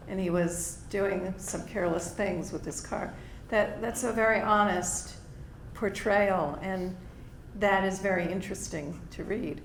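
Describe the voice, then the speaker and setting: A hoarse woman leading a writing workshop, coaxing participants to read aloud and encouraging them. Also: mid-range voice. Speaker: workshop leader. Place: library meeting room. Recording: echoey room with faint noise.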